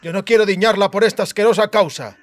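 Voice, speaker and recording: A man's voice: sits high, fast talker, dry recording, voice pushed up, lightly acted. A man voicing a fearful, fed-up deserting soldier in a fantasy audiobook, urgent and blurting.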